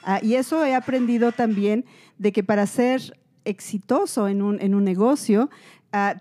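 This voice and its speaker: A woman with a mellow, warm voice speaks in a slow, measured way and stops often for fillers. She is a guest on a panel talk, sharing how she runs stores. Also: fairly high pitch. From Latin America.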